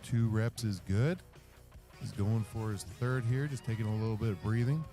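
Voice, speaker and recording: A low-pitched man gives calm, explanatory play-by-play at an outdoor strongman event. Loud guitar music plays behind him throughout. His delivery is steady and informative. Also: moderate pace. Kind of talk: live play-by-play commentary.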